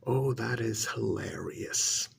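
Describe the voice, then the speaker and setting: A man playing a haughty, irritated older billionaire uncle in an ASMR roleplay, gravelly and breathy, talking down in drawn-out, sarcastic phrases. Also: lowish voice, a little hiss or hum, full character voice. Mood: bitter sarcasm. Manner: slow, condescending, drawn-out sarcasm with pauses.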